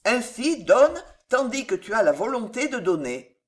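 A woman's voice: fairly high pitch, sing-song, measured pace, voice pushed up. Woman voicing a solemn, impassioned moralizing man. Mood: fervent blessing. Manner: exhorting, declamatory. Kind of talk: audiobook character voice.

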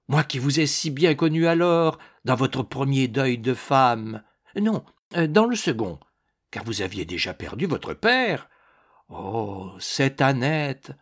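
A man voicing an effusive, astonished society duchess in an audiobook, gushing and exclaiming with drawn-out words. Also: mid-range voice. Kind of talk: audiobook character acting.

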